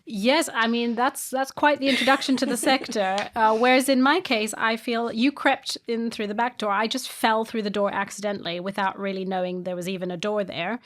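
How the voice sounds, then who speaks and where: A woman raised in South Africa and Brazil co-hosting a podcast, guiding the conversation in an articulate, expressive way. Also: a little high, brisk, hardly any pauses. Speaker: podcast co-host.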